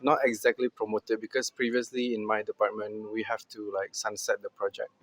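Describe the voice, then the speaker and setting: A man's voice: lowish voice, flat delivery, a little fast, fairly bright tone, low-quality recording. A man from Indonesia answering interview questions at an office, talking casually with many fillers. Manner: hesitant, filler-heavy. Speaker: interviewee, moderation operations.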